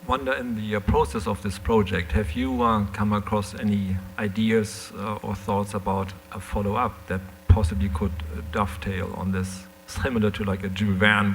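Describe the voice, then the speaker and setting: A man in the audience at a live event, asking a question in a hesitant, measured way. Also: lowish voice. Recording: faint hum on live event audio.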